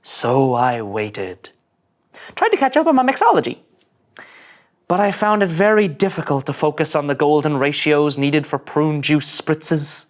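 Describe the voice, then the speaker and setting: A man's voice: a little high, very animated. Man playing a brooding officer narrating his log. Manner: slow, portentous. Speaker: reader at a table read.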